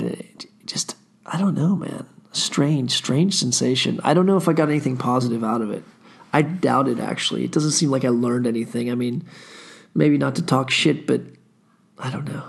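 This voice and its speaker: Gravelly-voiced man rambling, stuffed up. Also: medium pitch. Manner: casual, profane, wandering storytelling with frequent fillers. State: stuffy nose, under the weather. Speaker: podcast host. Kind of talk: rambling solo podcast monologue.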